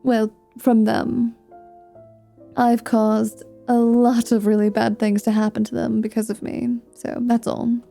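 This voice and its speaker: Slow, flirty woman acting as a sardonic vampire mercenary. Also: fairly high pitch, little pitch movement, creaky, dark tone, soft background music. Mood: guarded, confessing. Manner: teasing, dry, dramatic. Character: flirty, sardonic female dhampir mercenary, world-weary, unhinged. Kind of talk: ASMR fantasy roleplay acting.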